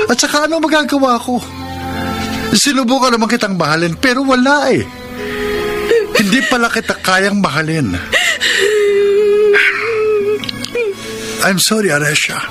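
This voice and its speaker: An actor with a Filipino accent playing a selfish, womanising young man in a radio drama. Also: extremely high pitch. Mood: defensive, pleading. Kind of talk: radio drama acting and confession narration. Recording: music bed under voice.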